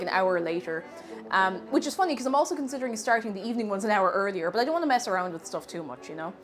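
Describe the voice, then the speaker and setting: An Irish woman with a sniffly cold, chatting casually with viewers while cooking on a live stream. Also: a little high. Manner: chatty and animated, thanks viewers warmly.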